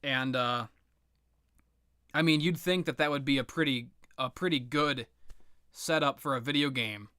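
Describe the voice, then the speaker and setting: A man giving casual, rambling, opinionated video game commentary with sarcastic, profane ranting and a halting, repetitive delivery. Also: medium pitch, bright, forceful tone, clear, resonant tone, no room sound, clean studio sound. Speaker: video game reviewer and host.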